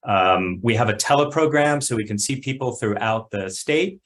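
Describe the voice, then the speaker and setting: Low-voiced man explaining on a video call. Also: expressive, moderate pace. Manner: earnest explaining with frequent uhs. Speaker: family wellbeing center staff. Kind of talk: online meeting presentation.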